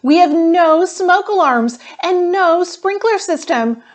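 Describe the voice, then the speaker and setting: A woman's voice: very high voice, animated, slow, pitched above the performer's own voice, heavily acted. A woman voicing an anxious, earnest young schoolgirl in a storybook, with pleading emphasis. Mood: urgent, alarmed. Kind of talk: storybook read-aloud.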